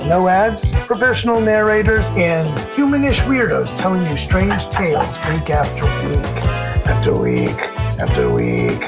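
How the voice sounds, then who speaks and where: Slow, very animated theatrical woman. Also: fairly low pitch. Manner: playful, theatrical. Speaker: podcast co-host. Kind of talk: scripted, theatrical podcast outro. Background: music.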